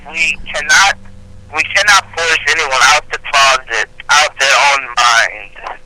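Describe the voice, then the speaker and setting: Slow, bright, sharp-voiced man on a call. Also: fairly high pitch, a little hiss or hum, low-quality recording. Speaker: guest on a conference call. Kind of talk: casual discussion. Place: remote voice on an internet conference call.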